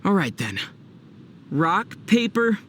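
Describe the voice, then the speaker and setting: A young man playing an easygoing adventurer hero in an anime-style game, with a wry, gently teasing way of talking. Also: high voice, sing-song, slow, heavy background noise, exaggerated voice. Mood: playful.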